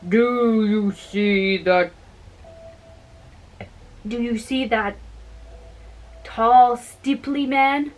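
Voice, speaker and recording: A woman's voice: fairly high pitch, fairly even intonation, extremely slow, pauses often. Woman reading as a firm, cautioning peasant father. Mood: firm warning. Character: protective, worried peasant father in a fairytale.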